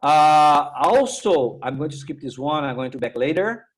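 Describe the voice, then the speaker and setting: Man, Brazilian accent, native Portuguese speaker, slightly high voice, informal online tech presenter, halting, repetitive, tag questions. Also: expressive. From Minas Gerais, Brazil. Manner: halting, repetitive, with "right?" tags. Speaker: technical presenter and workshop instructor.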